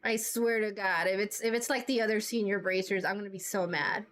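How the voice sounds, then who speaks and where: A woman game streamer giving casual, expressive, sweary reactions during a playthrough. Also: mid-range voice, a little fast. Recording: game music behind the voice. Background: game music.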